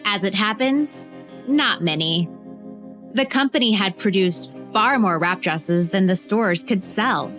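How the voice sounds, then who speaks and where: A woman narrates a scripted story with deliberate emphasis and dramatic pauses. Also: medium pitch, expressive, fairly bright tone. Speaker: narrator and host of a children's biography podcast. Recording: music bed behind the voice.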